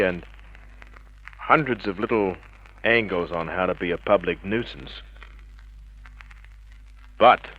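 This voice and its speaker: An actor playing a tough, wisecracking private detective, terse and cocky, narrating in the hardboiled style of an old radio crime drama. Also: male voice, deep voice, husky, full character voice. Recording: dull vintage radio drama recording.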